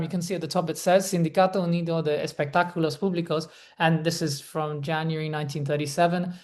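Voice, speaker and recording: A woman's voice: on the low side, a little mellow. A woman lecturing in an informal academic style, thoughtful and a little hesitant, qualifying her points as she goes. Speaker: lecturer, co-presenter.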